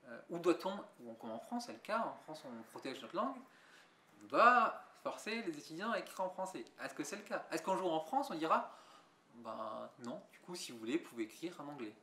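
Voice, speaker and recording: A man's voice: a little high, expressive, brisk, bright, forceful tone, a little hiss or hum. A young adult man, a visual artist, answering interview questions thoughtfully with many restarts and fillers. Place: museum gallery. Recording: echoey room.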